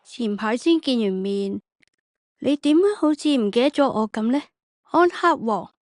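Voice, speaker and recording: Woman acting a higher-voiced, haughty, defiant noblewoman. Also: expressive, normal speed, no echo, studio quality, lightly acted. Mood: scornful, defiant. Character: defiant noblewoman openly scorning a king. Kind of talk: Chinese radio drama acting.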